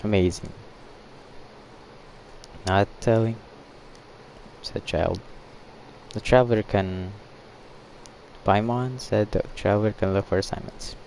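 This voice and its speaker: A man playing through a video game, reading dialogue aloud and commenting in a halting, offhand way. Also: fairly low pitch, gravelly, darkish tone, a little hiss or hum, rough recording. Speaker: gaming streamer.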